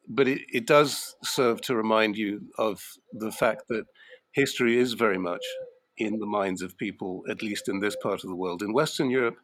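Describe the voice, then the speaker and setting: A man commenting in a measured, thoughtful way on a discussion panel, pausing to find his words. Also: lowish voice, a bit bright, no echo. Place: Greece.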